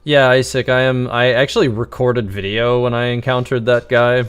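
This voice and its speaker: A man's voice: medium pitch, fairly even intonation, moderate pace, fairly bright tone, clean tone. A man casually commentating on a live game stream, musing and thinking aloud.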